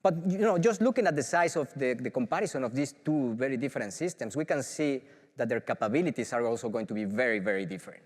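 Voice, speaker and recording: A man's voice: mid-range voice, expressive, fast, big-room sound. A middle-aged man from Mexico with a Mexican Spanish accent, giving an enthusiastic conference talk as a professor, with many "uh"s and restarts. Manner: enthusiastic, filler-heavy with frequent restarts. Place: large auditorium.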